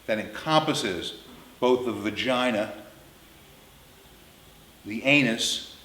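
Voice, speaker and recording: A man in his fifties tells comic stories in a roomy, echoing space. His delivery is lively and swings around in pitch. He is blunt, crude and emphatic. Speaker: storyteller. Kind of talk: comedic anecdote storytelling. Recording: roomy, echoing indoor sound.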